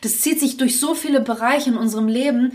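A young woman with a voice a little higher than most speaks in a casual podcast conversation. She talks candidly and at length, circling back with restarts as she thinks aloud. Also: brisk. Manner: candid, talks in long reflective runs with restarts. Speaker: podcast co-host.

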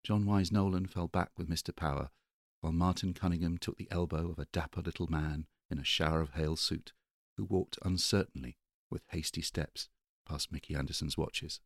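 A man's voice: low-pitched, steady tone, normal speed, dry recording, clean studio sound. A man reading a novel aloud as an audiobook narrator. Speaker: audiobook reader. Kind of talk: literary narration.

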